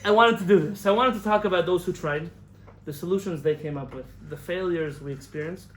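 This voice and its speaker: A young man from the United States giving a lively lecture as a rabbi, telling stories, acting out quotes and laughing at his own jokes. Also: high voice, brisk, a little hiss or hum. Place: lecture hall in front of an audience. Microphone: camera recording of a live talk.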